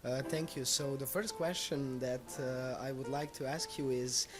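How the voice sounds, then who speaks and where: A young man with a Serbian accent hosts a school radio interview at a medium pitch. He is polite and somewhat hesitant, working out his sentences as he goes. Music plays behind his voice. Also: fairly even intonation, a little slow. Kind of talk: unscripted interview hosting.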